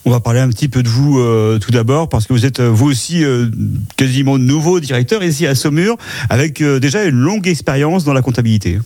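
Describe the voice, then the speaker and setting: A man's voice: mid-range voice, a little fast, a little mellow, no room sound. A man hosting a radio business interview as the interviewer. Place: interview on site in an office.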